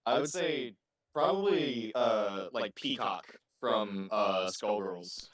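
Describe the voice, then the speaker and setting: A man answering interview questions casually, explaining himself in measured short phrases. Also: fairly low pitch, fairly bright tone. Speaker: interviewed voice actor.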